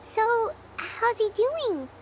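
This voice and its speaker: Anime game mascot fairy: tiny, childlike, very high squeak, inquisitive, hugely animated. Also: female voice, extremely slow, background noise, exaggerated voice. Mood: concerned. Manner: wide-eyed, expressive, questioning. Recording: game music under the voice.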